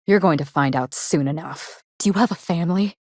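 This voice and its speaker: A woman playing a vengeful, theatrical actress turned assassin in a video game, speaking in a dramatic, deliberate and menacing way. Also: on the low side, darkish tone, no room sound, studio quality, natural voice. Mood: cold, vengeful menace. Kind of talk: scripted game dialogue.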